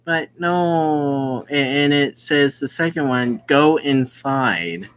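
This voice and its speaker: A gamer reading a visual novel aloud with casual commentary, halting and often explaining who is speaking. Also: mid-range voice. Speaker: gaming video host.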